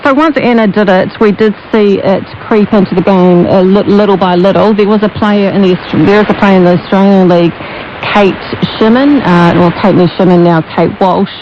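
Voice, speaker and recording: A woman's voice: mid-range voice, moderate pace. A woman with a New Zealand accent talking conversationally in a radio interview as a sports pundit, heard over shortwave. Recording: dull, noisy shortwave radio reception.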